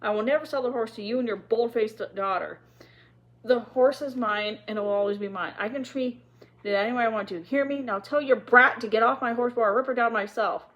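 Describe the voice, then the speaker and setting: A woman voicing a gruff, cruel man who owns a horse, snarling and shouting threats in a story read aloud. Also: medium pitch, moderate pace, dull audio, natural voice. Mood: furious, shouting.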